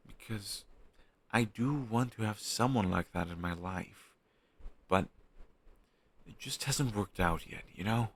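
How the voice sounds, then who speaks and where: A man performs a flustered young guy with a very low, gravelly voice in an intimate audio roleplay. His intonation swings widely as he talks around a crush on his best friend. He is halting and sheepish, like someone in a private conversation. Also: a bit bright, heavily acted. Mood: evasive, sheepish.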